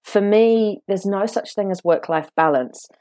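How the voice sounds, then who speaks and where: A woman from the United Kingdom with a British accent, a guest explaining her ideas in a measured, reflective way. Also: medium pitch, dark tone, no room sound. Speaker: guest, author and coach. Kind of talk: podcast interview.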